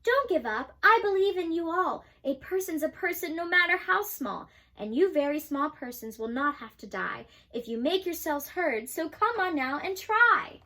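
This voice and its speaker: A woman's voice: very high-pitched, animated, normal speed, few pauses, pushed and bright, exaggerated voice. A young woman voicing a kind, loyal male elephant who speaks earnestly. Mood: pleading. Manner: earnest, pleading.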